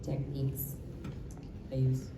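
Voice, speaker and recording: A raspy woman with a low voice talks loosely on a convention panel, trailing off and laughing between thoughts. Her voice bounces around a big, reverberant hall with steady background noise. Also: takes it slowly. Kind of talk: casual panel Q&A. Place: large convention hall, indoors. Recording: echoey noisy convention hall.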